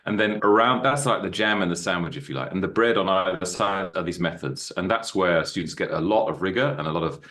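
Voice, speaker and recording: Deep bass-voiced man in his fifties. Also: brisk, hardly any pauses, fairly bright tone. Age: 50s. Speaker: guest, university co-founder. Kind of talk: podcast interview.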